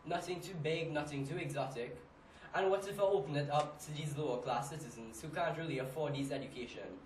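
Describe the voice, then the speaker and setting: A man's voice: a little high, fairly quick, lightly acted. A man with a Trinidadian accent playing a frustrated, weary businessman in an emphatic dramatic monologue. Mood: thoughtful, hopeful. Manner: emphatic, exasperated, with rhetorical questions. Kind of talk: dramatic monologue, amateur stage acting. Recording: echoey room, distant mic, faint noise.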